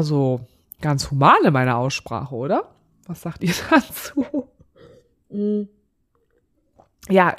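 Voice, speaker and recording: A woman hosting a solo podcast, talking candidly and chattily with a slightly nasal voice from a blocked nose. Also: low voice. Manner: chatty, candid, laughs often. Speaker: podcast host. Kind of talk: casual solo podcast chat.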